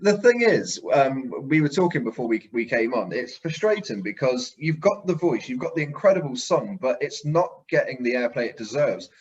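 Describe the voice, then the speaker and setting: A man with a mid-pitched voice, recorded a little dull and lo-fi. He hosts an interview in a conversational, effusive way, full of compliments. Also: sing-song, normal speed, few pauses, a little hiss or hum. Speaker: interview show host.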